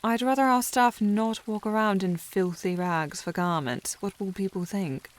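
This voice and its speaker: A woman's voice: medium pitch, moderate pace, natural voice. A woman playing a snobbish, teasing young lady with haughty, formal phrasing in a historical romance ASMR roleplay. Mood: bossy, fussing. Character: snobbish, teasing young upper-class lady, secretly fond.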